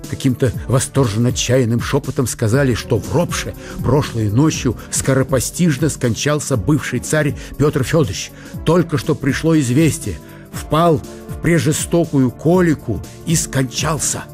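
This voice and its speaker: A man narrating a radio play in a measured storytelling manner. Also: mid-range voice, a little mellow, soft background music.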